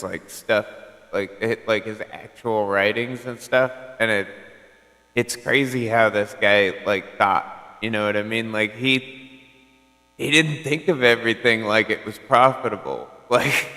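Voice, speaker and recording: A man's voice: mid-range voice, bright, forceful tone, big-room sound. A man chatting casually on a CB radio channel, rambling and filling his talk with "like" and "you know". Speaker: CB radio caller.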